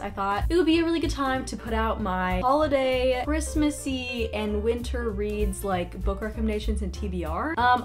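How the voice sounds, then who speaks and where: A young woman with a nasal, stuffed-up voice from a cold chats warmly about books she loves. Her delivery is bubbly and rambling, full of "like" and small restarts. Soft music plays faintly underneath. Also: medium pitch. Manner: bubbly, chatty, many "like" fillers. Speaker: book vlogger. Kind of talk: casual book recommendations.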